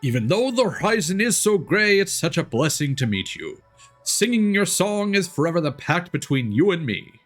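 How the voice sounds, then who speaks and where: A man's voice: high-pitched, very animated, moderate pace. An earnest, childlike little forest spirit from a fantasy game , voiced by a man. The voice is raised and swoops in a singsong, storybook way, with faint music behind. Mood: earnest, grateful. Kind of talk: reading game dialogue aloud.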